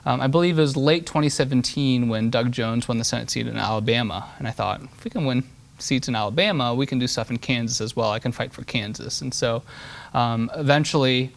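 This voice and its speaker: Earnest young man, halting repeats. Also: medium pitch, vocal fry. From Switzerland. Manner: earnest, repeats words while thinking. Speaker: state representative guest. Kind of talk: political interview answers.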